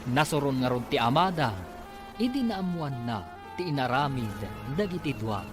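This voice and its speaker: A man announcing and narrating a radio drama in an emphatic, deliberate, scripted style. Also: mid-range voice, very animated, music in the background.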